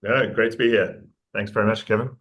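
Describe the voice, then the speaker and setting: A man with a New Zealand accent, a company CEO pitching his firm in an interview, upbeat and restarting words often. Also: steady tone, brisk, fairly bright tone, dull audio.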